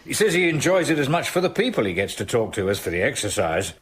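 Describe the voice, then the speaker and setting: An actor playing a concerned, loyal Victorian gentleman in a British radio drama, courteous and expressive, with a British accent. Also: medium pitch, fast talker, slightly rough.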